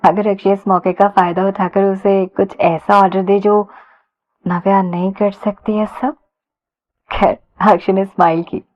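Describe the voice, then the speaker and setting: A woman's voice: medium pitch, flat delivery, a little slow. Woman narrating a story, slightly muffled. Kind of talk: conversational romance story narration. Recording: dull lo-fi sound.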